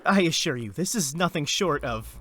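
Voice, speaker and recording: A man playing a nervous, overly polite young male adventurer in an audio drama, expressive and given to talking to himself. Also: on the high side, normal speed. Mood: flustered, apologetic.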